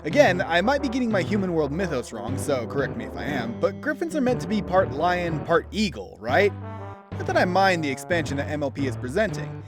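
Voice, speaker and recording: A man's voice: fairly high pitch, very animated, a little fast. A man narrating a scripted review as host in a theatrical, opinionated manner. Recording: background music behind voice. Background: instrumental music.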